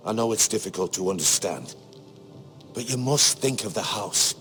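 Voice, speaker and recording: Prudent, grave castle steward. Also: male voice, lowish voice, expressive, a bit bright, soft background music. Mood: solemn, consoling. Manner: measured, earnest, formal. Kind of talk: dramatic fantasy game voice acting.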